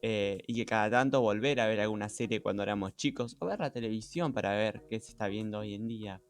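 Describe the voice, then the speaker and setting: A man's voice: lowish voice, brisk. A man co-hosting a podcast, explaining things in a conversational way. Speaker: podcast co-host. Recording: music behind the voice.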